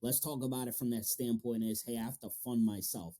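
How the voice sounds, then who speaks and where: Very dark, mellow-voiced male interviewer. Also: medium pitch, fairly even intonation, fairly quick. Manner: informal, slangy. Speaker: interviewer, show host. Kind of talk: casual interview chat.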